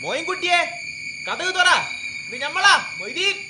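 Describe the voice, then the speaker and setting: An actor playing a hungry, pleading night visitor in a radio drama, calling out in sing-song verse. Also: male voice, very high-pitched, sing-song, normal speed. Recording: noisy radio drama ambience.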